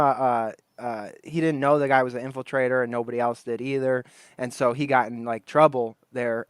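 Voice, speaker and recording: A man's voice: medium pitch, clear, resonant tone, no echo, studio quality. A man on a casual podcast, rambling through a story, laughing often and acting out the people he quotes. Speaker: podcast co-host.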